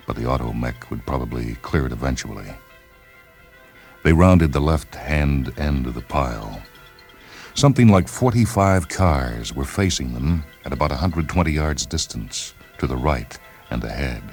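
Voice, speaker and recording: A man narrating a short story in a deliberate, dramatic literary delivery, with music behind him. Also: a bass voice, darkish tone. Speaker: narrator and reader of short stories.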